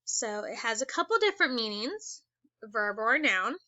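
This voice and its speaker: A woman teacher reading a children's book aloud. She reads slowly and stops to ask the class questions. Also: a little high, sing-song, sharp tone, dry recording, highs cut off. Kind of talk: read-aloud lesson for children.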